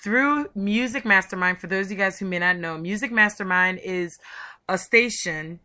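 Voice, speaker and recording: A woman's voice: mid-range voice, normal speed, pushed and bright. A woman hosting a chatty promotional interview, talking in an effusive, gushing way. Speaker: show host and interviewer.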